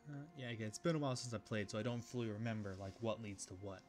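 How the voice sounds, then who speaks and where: A man's voice: on the low side, rapid-fire, a little mellow, faint music behind. A man casually reading a visual novel aloud and commenting as he plays, often restarting phrases. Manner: casual, with fillers and repeated restarts. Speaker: gaming YouTuber.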